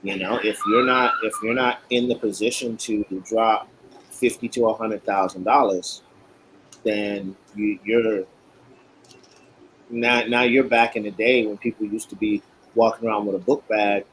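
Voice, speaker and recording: A man's voice: lowish voice, fairly even intonation, measured pace, fairly bright tone, a little hiss or hum, rough recording. A man from Queens, a guest in a casual chat, talking in a blunt, emphatic way with many restarts. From Queens, New York.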